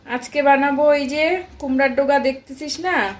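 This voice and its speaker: An affectionate, homely mother played by a woman. She talks in an unhurried, gently questioning way, as in an everyday phone chat with her grown son. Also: high voice, lightly acted. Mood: homely, chatty. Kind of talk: staged phone-call drama, casual domestic chat.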